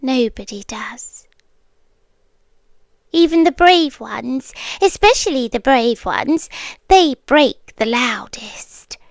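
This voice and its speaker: A woman with a British accent playing a possessive, softly menacing yandere girlfriend in a hushed, intimate ASMR roleplay, close to the microphone. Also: sits high, expressive, gravelly, no echo, heavily acted. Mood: quietly threatening. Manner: hushed, often whispering, deliberate pauses.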